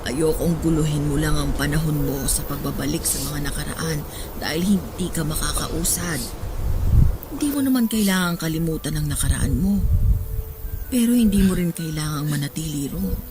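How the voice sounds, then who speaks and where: A woman's voice: low voice, moderate pace, heavy background noise, lightly acted. An actress playing an ailing elderly mother in a Filipino radio drama, tender and forgiving, with a heartfelt, pleading way of talking. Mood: gentle admonishing. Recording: loud music under the voice.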